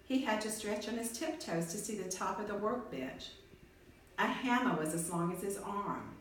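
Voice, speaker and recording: A woman's voice: medium pitch, lively intonation, normal speed. Echoey, distant woman reading a storybook. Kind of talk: expressive storybook read-aloud. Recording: echoey room, far from mic, faint noise.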